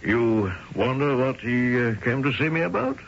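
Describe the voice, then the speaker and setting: A man's voice: mid-range voice, expressive, moderate pace, gravelly. An actor playing a stubborn, traditional immigrant father in a radio drama, scolding and arguing through pointed rhetorical questions. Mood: bossy, probing. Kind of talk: old-time radio drama acting. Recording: muffled, noisy old radio broadcast.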